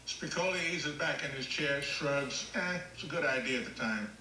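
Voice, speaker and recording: A man's voice: mid-range voice, animated, moderate pace, sharp tone, roomy sound, a little hiss or hum, rough recording. A man reading stage directions as scripted screenplay narration at a table read.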